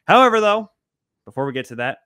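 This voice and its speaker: A man's voice: high voice, big pitch swings, fast, sharp tone, clear, resonant tone, no echo, clean studio sound. A man hosting a sports podcast and interview in an emphatic, showy announcer-like manner. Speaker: podcast host.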